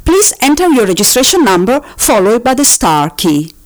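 A woman voicing a politely officious automated phone-menu system, reading scripted options formally with drawn-out, sing-song emphasis. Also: a little high, very animated, very noisy. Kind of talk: recorded phone-menu announcement, scripted parody.

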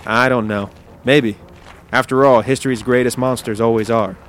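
An actor playing a thoughtful, fascinated scientist in an audio drama, speaking in a measured, portentous way. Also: male voice, mid-range voice, steady tone, slight background noise, close to an everyday voice. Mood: fascinated, ominous. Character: thoughtful male monster scientist, grave and fascinated.

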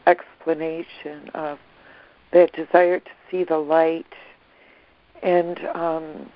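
A caller speaks slowly and hesitantly on a thin, tinny phone line, with frequent fillers. The voice stays flat and even as they share on a group call. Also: mid-range voice. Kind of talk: casual sharing on a group call. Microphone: phone on a conference call.

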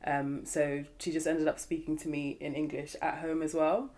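A woman's voice: low-pitched, level tone, moderate pace, a little mellow. A woman from Zimbabwe chatting casually on a podcast, self-mocking and often laughing as she talks. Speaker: podcast co-host. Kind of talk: casual group chat.

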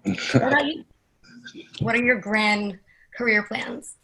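An actress playing a friendly, oblivious young love interest in a play reading, conversational, with a creaky voice. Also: female voice, medium pitch, slow, sharp tone, slight background noise, rough recording. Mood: teasing.

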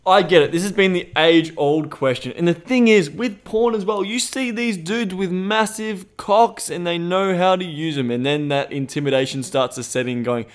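Australian guy, co-host, a bit high-voiced, blunt, swears a lot, animated casual chat. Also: normal speed, hardly any pauses. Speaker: podcast co-host. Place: Thailand.

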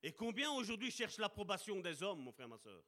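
Animated high-voiced male preacher. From Italian heritage. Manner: impassioned, addresses congregation as brother and sister; slows down to stress words. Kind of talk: sermon.

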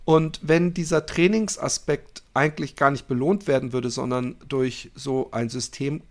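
A man's voice: medium pitch, steady tone, normal speed. A man hosting a casual podcast chat, talking in a teasing, joking and self-mocking way. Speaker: podcast host.

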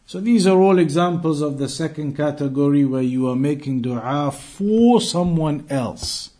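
A man giving an Islamic lesson as a teacher, reciting texts and explaining them phrase by phrase in a deliberate, repetitive way. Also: fairly high pitch, warm tone. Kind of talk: religious lesson, reciting Arabic texts then translating phrase by phrase.

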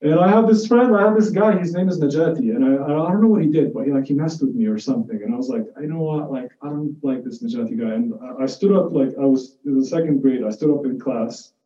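A man's voice: mid-range voice, fast talker, hardly any pauses, warm tone. A man from Jordan giving an informal, conversational lecture, explaining ideas through personal anecdotes and often restarting his phrases. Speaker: lecturer in psychiatry. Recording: muffled, echoey room, distant mic.

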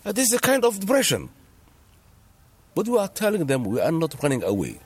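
A man's voice: high voice, wildly swooping pitch, a little fast, slightly rough. A man, not a native English speaker, talking casually outdoors. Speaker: asylum camp resident. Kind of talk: casual spoken testimony while walking outdoors. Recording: noisy outdoor location, rustling.

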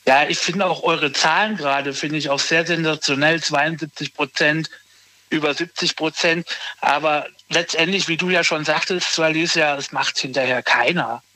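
A man in his thirties talks casually and haltingly, circling back and restarting his thoughts. His voice sits high and sounds bright and forward. The sound is dry, with no room echo. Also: steady tone. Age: 30s. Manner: hesitant, restarts often, lots of fillers. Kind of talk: casual conversation.

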